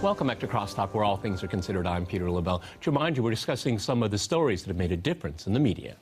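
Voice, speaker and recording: A man's voice: fairly low pitch, sing-song, very fast, loud music behind the voice. A man hosting and moderating a television panel discussion from a studio. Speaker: talk show host.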